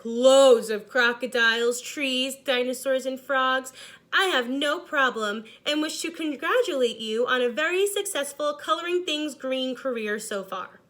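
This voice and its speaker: A woman's voice: high voice, a bit bright. Woman as a polite, contented green crayon. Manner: polite, formal.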